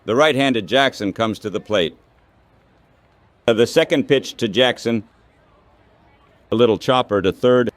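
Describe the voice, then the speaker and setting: A man's voice: medium pitch, unhurried, pauses often, dry recording. A man's synthetic text-to-speech voice calling baseball play-by-play in short, clipped phrases. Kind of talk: baseball radio play-by-play commentary.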